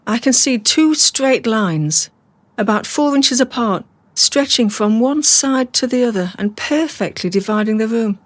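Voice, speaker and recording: An actress playing a calm, analytical captive woman in a radio drama, measured, rational and dry. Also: a little high, no echo. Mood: grave, analytical. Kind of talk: naturalistic radio drama acting.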